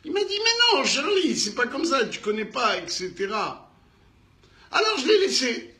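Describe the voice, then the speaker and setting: A man with a North African French accent telling a business anecdote in an animated way, acting out the dialogue with emphatic repetitions. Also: high voice, echoey room. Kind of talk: animated storytelling.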